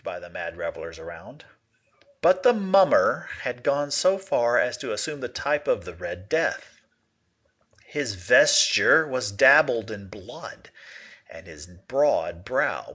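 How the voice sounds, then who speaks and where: A man giving a dramatic reading of a classic story, with expressive, sweeping storytelling. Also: medium pitch, slightly rough. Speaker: reader. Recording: dull lo-fi recording.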